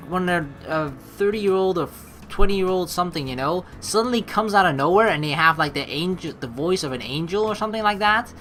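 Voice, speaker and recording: A woman's voice: on the low side, fairly bright tone. A young adult woman giving casual let's play commentary in a rambling, conversational way. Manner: rambling, conversational, peppered with "you know". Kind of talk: casual game commentary mixed with reading dialogue aloud.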